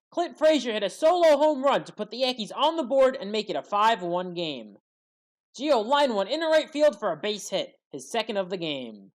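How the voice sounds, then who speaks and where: A woman giving scripted baseball highlight commentary as an opinionated, partisan fan. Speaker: sports commentator.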